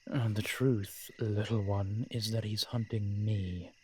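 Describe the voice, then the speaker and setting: Genteel, secretive age-old male vampire, performed by a man for audio roleplay; deepish voice, very slow, deliberate phrasing. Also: fairly even intonation, darkish tone, a little hiss or hum. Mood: guarded, knowing. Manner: measured, formal, genteel phrasing with deliberate pauses. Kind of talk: scripted audio roleplay addressed to the listener.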